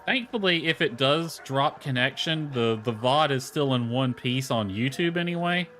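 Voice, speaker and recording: A man's voice: mid-range voice, lively intonation, moderate pace, fairly bright tone, slight background noise. A man giving casual, rambling commentary on a game livestream, mildly grumbling as he goes. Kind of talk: casual gameplay stream commentary. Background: music.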